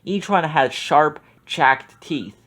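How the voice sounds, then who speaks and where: A man reading a children's book aloud in an expressive, lively way. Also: on the high side, slow pace.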